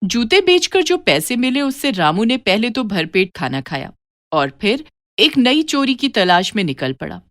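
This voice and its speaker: Lively woman storyteller, dry sound. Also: fairly high pitch, measured pace, dry recording. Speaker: storyteller, narrator. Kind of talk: scripted children's moral story narration.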